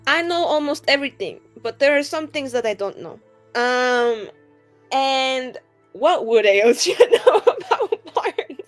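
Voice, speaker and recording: A woman's voice: high-pitched, sing-song, measured pace. A woman playing a tabletop roleplaying game, improvising casually in a playful, rambling, joking way. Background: music behind the voice.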